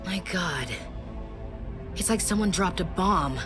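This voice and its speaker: A woman's voice: fairly low pitch, lively intonation, takes it slowly, over music. An actress playing a tough, alert female soldier in a sci-fi game. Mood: stunned. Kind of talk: cinematic sci-fi video game acting.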